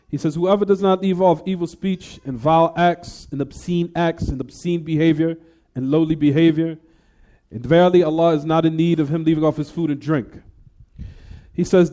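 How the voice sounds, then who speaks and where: A man's voice: on the high side, warm tone. A man teaching a religious lesson, reading a text aloud and explaining it, often asking the class rhetorical questions. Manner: reads quoted text then explains, asks the class rhetorical "what?" questions; switches between English and recited Arabic. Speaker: Islamic teacher.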